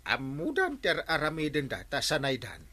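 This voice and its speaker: Exasperated village captain, Ilocano accent, forceful delivery with big pitch swings, radio comedy. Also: male voice, moderate pace, no echo, heavily acted. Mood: puzzled. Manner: animated, scolding, exclaiming. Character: stern, exasperated male village captain scolding his councilor. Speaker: radio drama actor.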